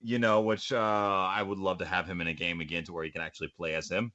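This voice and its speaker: A young man hosting a podcast, animated and goofy, giving opinionated list commentary with casual banter. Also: deep voice, quick pace, dull audio. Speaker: podcast host and amateur voice actor.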